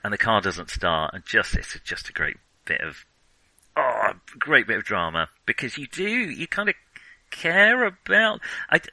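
A man's voice: fairly low pitch, big pitch swings, measured pace, vocal fry, sharp tone, dry recording, low-quality recording. A man co-hosting a podcast, talking casually about a film in a rambling way, with lots of restarts and fillers. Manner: rambling, many restarts and ums. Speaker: podcast co-host.

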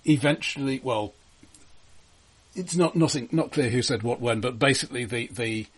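Guy with a husky voice on a film podcast, stop-start and stammery, kinda wry and critical. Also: medium pitch, moderate pace. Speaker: podcast host. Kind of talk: unscripted film discussion.

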